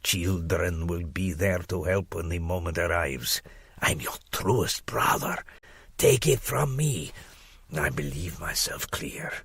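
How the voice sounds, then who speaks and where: Guy doing a slow husky low-voiced crackpot professor, pompous and secretive, audiobook drama. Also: fairly bright tone, dry recording, exaggerated voice. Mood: urgent conspiratorial. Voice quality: husky, breathy. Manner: pompous, conspiratorial, dramatic pauses, dropping to whispers. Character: obsessive crackpot male scholar writing a grave warning letter.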